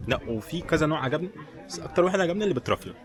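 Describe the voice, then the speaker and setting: Fast-talking Egyptian man, casual vlogger. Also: a little high, expressive. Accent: Egyptian Arabic. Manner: informal running commentary, addressing viewers directly. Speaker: travel vlogger. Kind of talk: casual walking-tour chat. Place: walking around a tourist village and its shops. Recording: busy crowd and street bustle behind.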